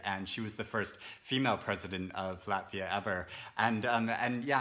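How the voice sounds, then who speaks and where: A man's voice: lowish voice, pushed and bright. A man in his thirties talking casually to a camera from a sofa, rambling with many fillers and restarts. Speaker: book vlogger. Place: indoors, seated on a sofa.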